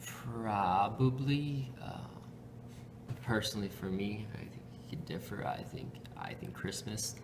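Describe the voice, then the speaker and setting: A young college student from Chicago, answering interview questions hesitantly, with many fillers. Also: male voice, lowish voice, a little slow, background noise. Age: young adult.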